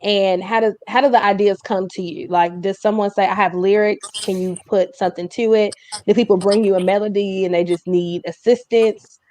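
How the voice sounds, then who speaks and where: A woman's voice: mid-range voice, steady tone, normal speed, a little mellow, slight background noise. Casual woman hosting an interview. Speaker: host interviewer.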